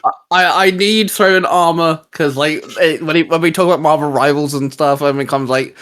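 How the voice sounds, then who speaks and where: A man's voice: on the high side, fairly even intonation, normal speed, fairly bright tone. A man hosting a fan discussion show, presenting and chatting in a casual way.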